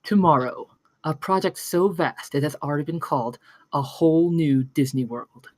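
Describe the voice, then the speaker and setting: Guy doing a 1960s promo film announcer, grand, voice on the higher side. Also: normal speed, a little mellow, close to an everyday voice. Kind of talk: scripted announcer delivery.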